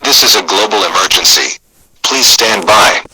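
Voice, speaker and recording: A man's voice: on the low side, normal speed, very noisy, natural voice. A man playing a grave, impersonal emergency broadcast announcer, reading a scripted alert in a formal, solemn manner.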